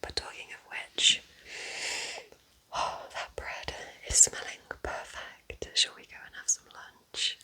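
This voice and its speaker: A woman whispers breathily in a gentle, chatty ASMR roleplay as a kind witch at home in her cabin. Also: takes it slowly. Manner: gentle, chatty, informal asides.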